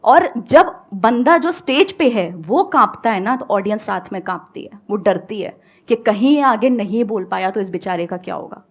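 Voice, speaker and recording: A young woman speaking on stage, giving calm, coaxing advice to an audience with everyday examples. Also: medium pitch, moderate pace, lo-fi sound. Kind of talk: motivational talk and audience Q&A. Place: stage before a live audience.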